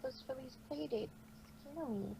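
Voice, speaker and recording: A proud, sulky cartoon unicorn mare, played by a woman, broods in a high voice. She questions herself haltingly and guiltily, as in a fan audio story. The recording has clear background noise. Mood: conflicted, guilty. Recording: noisy amateur microphone recording.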